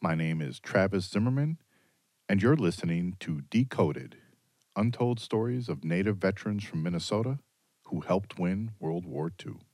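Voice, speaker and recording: Male radio documentary host with a booming low voice, earnest narration, dry studio sound. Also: animated, moderate pace, a little mellow, no room sound.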